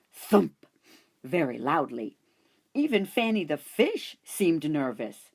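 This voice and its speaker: A woman reading a children's chapter book aloud in an expressive storytelling manner. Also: sits low, husky. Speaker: audiobook reader. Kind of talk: children's audiobook narration.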